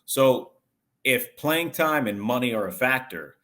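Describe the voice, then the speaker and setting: A man's voice: medium pitch, lively intonation, measured pace, a bit bright. A man working as a sports broadcaster, giving detailed conversational analysis as an interview guest in stop-and-start phrases.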